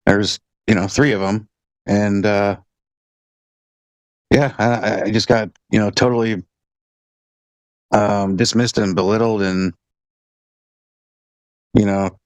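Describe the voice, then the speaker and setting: A man's voice: lowish voice, steady tone, takes it slowly, pauses often, no echo. A man in casual conversation who talks hesitantly with many fillers and swears bluntly.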